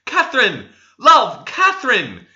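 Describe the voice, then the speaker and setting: A man voicing a gentle, offended young gentleman suitor, earnest and soft-mannered, in a storybook reading. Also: extremely high pitch, wildly swooping pitch, takes it slowly, lo-fi sound, voice pushed up, heavily acted. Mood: shocked.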